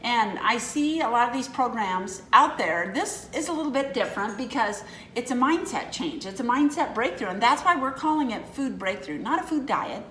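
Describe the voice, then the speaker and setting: A woman's voice: on the high side, expressive, moderate pace, fairly bright tone, roomy sound, a little hiss or hum, rough recording. A woman in her fifties co-hosting a casual chat, telling rambling stories that keep correcting themselves. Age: 50s. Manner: rambling, self-correcting storyteller. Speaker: co-host.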